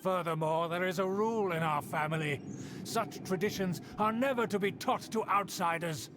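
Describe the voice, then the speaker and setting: A man's voice: high-pitched, normal speed, over music, lightly acted. A man voicing a pompous, haughty older noble uncle in a video game, lecturing in an indignant, lofty tone.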